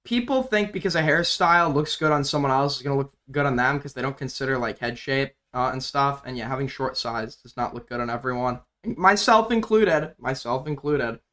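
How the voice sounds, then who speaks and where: A man's voice: mid-range voice, brisk, few pauses, fairly bright tone. A man hosting a livestream, bluntly arguing with a caller and commenting on a video with sarcastic remarks. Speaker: livestream host. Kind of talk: casual livestream commentary and call-in debate.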